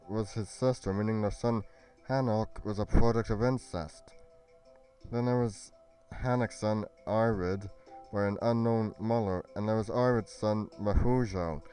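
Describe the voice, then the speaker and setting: A man playing an earnest young fantasy adventurer in an amateur roleplay, with a solemn, deliberate delivery. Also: on the low side, dark tone, natural voice. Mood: solemn explaining.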